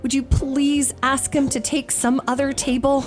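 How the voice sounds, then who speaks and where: An actress playing a prim, sharp-tongued young single woman in a radio romantic comedy, stammering and indignant. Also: high-pitched, level tone, unhurried, soft background music. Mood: indignant, nervous. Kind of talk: old-time radio romantic comedy acting.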